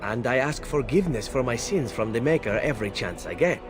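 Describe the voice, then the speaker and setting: An actor playing a devout, cheeky assassin in a video game, with a teasing, self-assured manner, game music behind him. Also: male voice, medium pitch, lively intonation, a little fast, natural voice. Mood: mock offended, smug. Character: devout, cheeky assassin, untroubled by his own sins. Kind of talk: video game companion banter.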